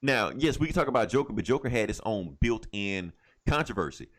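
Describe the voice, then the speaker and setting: A man's voice: lowish voice, expressive, a little fast. A man talking casually and emphatically as a podcast co-host, giving opinions and repeating phrases to drive his points home. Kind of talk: casual opinionated pop culture podcast talk.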